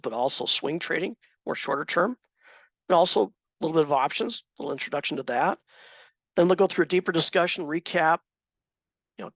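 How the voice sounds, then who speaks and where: A man teaching a live trading class in a conversational way, asking the class questions, with a slightly hoarse voice. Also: fairly high pitch, fairly bright tone, no echo. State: slightly hoarse, clearing throat. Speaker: trading instructor.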